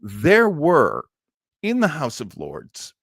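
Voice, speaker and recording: An American man hosting a casual live stream, talking in a halting, theatrical, self-deprecating way. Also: fairly high pitch, huge pitch swings, creaky, slightly rough, no room sound, studio quality. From United States. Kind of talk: casual live chat introducing an old radio show.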